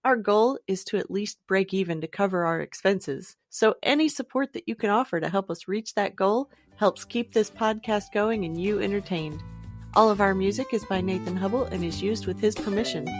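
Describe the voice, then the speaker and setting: A woman's voice: mid-range voice, moderate pace, no echo. A woman podcast host reading a scripted show introduction. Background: music.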